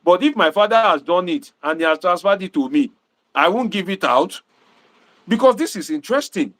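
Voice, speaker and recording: A Nigerian man hosting a livestream, talking to viewers in a preachy, animated way and dropping Pidgin asides. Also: high-pitched, normal speed. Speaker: livestream host and political commentator.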